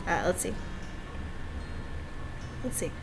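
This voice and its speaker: Giggly, informal woman with background noise. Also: slow. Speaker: game developer streaming own visual novel. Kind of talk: casual playthrough chat, reading dialogue aloud.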